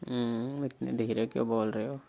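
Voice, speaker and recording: A man's voice: mid-range voice, fairly even intonation, moderate pace, creaky, mellow, lightly acted. A man playing a teasing, affectionate young Rajasthani lover, talking intimately on the phone. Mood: tender. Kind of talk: intimate romantic phone conversation, acted as a prank call recording.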